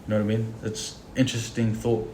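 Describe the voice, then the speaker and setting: A man, a rapper talking in a relaxed, slangy podcast chat. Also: lowish voice, quick pace, slight background noise. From Australia. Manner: laid-back, slangy, agrees often. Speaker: rapper and producer, podcast guest. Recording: roomy studio echo.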